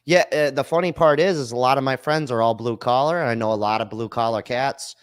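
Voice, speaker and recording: A male podcast host with a loose, slangy delivery that turns blunt and profane. The sound is dry and close, with no room around it. His pitch sits in an ordinary middle range. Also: a little fast, clear, resonant tone, no room sound. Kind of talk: casual chat.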